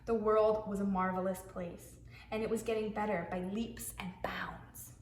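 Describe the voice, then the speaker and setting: A woman, a preschool teacher, reading a picture book aloud to young children in an expressive way, with hushed asides. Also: medium pitch, moderate pace, a little hiss or hum. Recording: echoey room.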